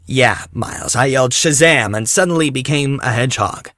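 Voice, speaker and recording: A man's voice: mid-range voice, normal speed, fairly bright tone, no room sound, studio quality, close to an everyday voice. A man playing a sarcastic, casual teenage boy hero in a fan audio drama. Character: sarcastic teenage boy turned giant blue hedgehog hero.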